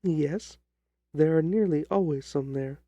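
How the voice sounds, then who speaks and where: Distressed young gentlewoman, played by a man, formal and theatrical, soft dark tone, dry close sound, audiobook drama. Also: on the high side, measured pace, no echo. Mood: earnest, troubled. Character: distressed young gentlewoman recounting her sister's terrifying death.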